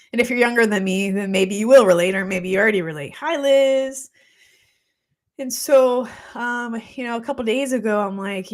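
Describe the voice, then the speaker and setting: A woman in her forties, a holistic practitioner, chatting on a live stream in a reflective way with a slightly congested voice. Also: mid-range voice. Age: 40s. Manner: chatty and reflective, many fillers. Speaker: holistic practitioner hosting a weekly live show. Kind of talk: casual live-stream talk, greeting viewers. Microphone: streaming mic.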